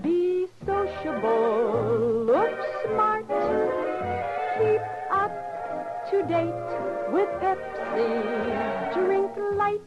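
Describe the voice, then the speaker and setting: A woman singing a vintage commercial jingle in a bright advertising style. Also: extremely high pitch, sing-song, extremely slow. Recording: dull old radio recording with loud music.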